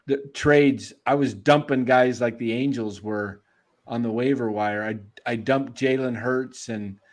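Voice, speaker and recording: Brief: male host of a live sports stream, mid-pitched, loose and chatty, answers the chat and laughs easily.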